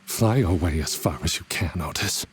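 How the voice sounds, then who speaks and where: A man playing a stern, remorseful older owl mentor in a game fandub, speaking gravely and formally with a rough, husky voice. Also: sits low. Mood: grave, resigned. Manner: grave, formal, deliberate delivery. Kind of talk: dramatic game fandub acting.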